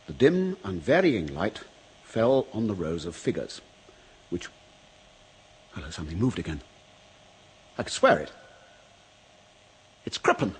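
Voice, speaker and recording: An actor playing a frightened freelance writer in a radio horror drama, husky-voiced and agitated, swinging between muttering and shouting. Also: male voice, deep voice, sing-song, a little slow, pauses often, full character voice, low-quality recording. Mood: reading aloud, brooding, then uneasy muttering. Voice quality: husky, breathy. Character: frightened male freelance writer, jittery, unravelling overnight among waxworks. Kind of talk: old-time radio horror drama acting.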